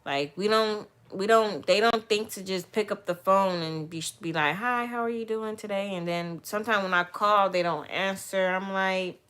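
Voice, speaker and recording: A woman, chatting casually and candidly with viewers, rambling and turning soft and heartfelt as she talks. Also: medium pitch, normal speed, hardly any pauses, clear, resonant tone. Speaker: vlogger answering viewer questions. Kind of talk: casual livestream chat.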